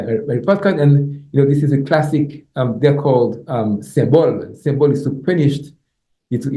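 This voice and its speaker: A middle-aged man with a Haitian accent, a native Haitian Creole speaker, gives an academic lecture in a halting way, repeating words and checking in with "right?". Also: mid-range voice, dark tone. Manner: halting, repeats words, tags points with "you know" and "right?". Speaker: professor, guest lecturer. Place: indoor lecture room. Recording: echoey room sound.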